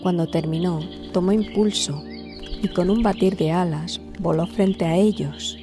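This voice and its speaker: A woman narrating a scripted fairy tale as a storyteller, in a measured, dramatic way. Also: on the low side, music in the background.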